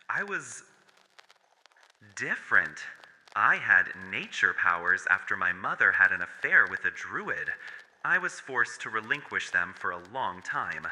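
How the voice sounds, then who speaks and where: A man's voice: on the low side, sharp tone, heavily acted. A man voicing a traumatised furry cult survivor in an audio horror drama, speaking in a solemn, confessional way. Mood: guarded, reflective. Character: traumatised male furry cult survivor with nature powers, haunted. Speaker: voice actor. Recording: long hall-like reverb.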